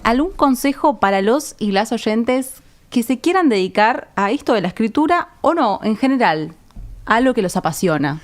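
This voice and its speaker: A woman's voice: fairly high pitch, expressive, moderate pace. A woman asking conversational questions as an interviewer.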